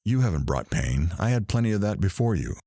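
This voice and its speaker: A man playing a weary, reflective radio talk show host in an audio drama, speaking in a solemn, measured, theatrical way. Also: low-pitched, big pitch swings, dark tone, dry recording, clean studio sound, exaggerated voice.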